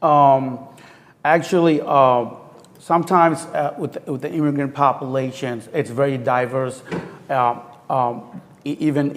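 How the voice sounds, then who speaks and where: A man on a panel, speaking earnestly and haltingly with non-native grammar about his work with immigrants. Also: medium pitch, big-room sound. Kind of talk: panel discussion. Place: university forum hall.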